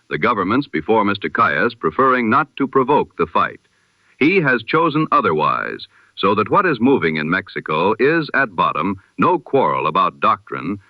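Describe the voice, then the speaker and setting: A man presenting a scripted news bulletin in the style of 1920s radio, with a measured, formal, solemn delivery. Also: on the low side, dry recording, lo-fi sound.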